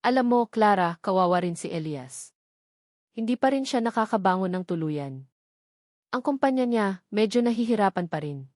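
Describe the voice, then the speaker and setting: A woman's voice: medium pitch, lively intonation, normal speed, darkish tone, dry recording, clean studio sound, lightly acted. A woman voicing an evasive man who poses as a caring friend in a storybook drama, explaining himself. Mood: friendly concern. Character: Nico, evasive male friend, falsely caring.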